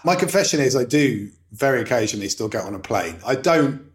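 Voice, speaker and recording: A man's voice: mid-range voice, expressive, fairly quick. A man who is a return guest on a podcast, talking in a witty, wordy and self-mocking way. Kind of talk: casual podcast interview.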